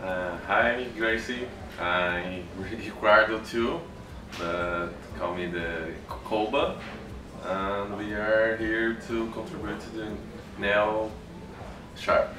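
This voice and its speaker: Slow, low man, distant. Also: background noise. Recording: roomy, distant mic. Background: room ambience.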